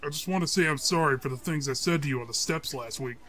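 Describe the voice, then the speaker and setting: A man's voice: a little high, quick pace, bright, forceful tone, slight background noise. A man playing a troubled, determined former police lieutenant in a comic-book audio drama, speaking plainly and earnestly. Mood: apologetic.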